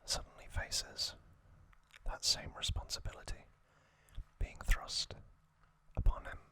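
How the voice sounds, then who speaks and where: An ASMR host whispering a story recap in hushed, deliberate short phrases. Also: poor audio.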